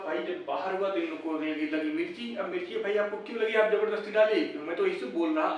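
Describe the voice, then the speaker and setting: A man's voice: high-pitched, a bit bright, slight background noise. A man, giving informal, blunt, argumentative commentary as an online host, full of rhetorical questions. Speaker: YouTube exam-update host. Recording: echoey room, distant mic.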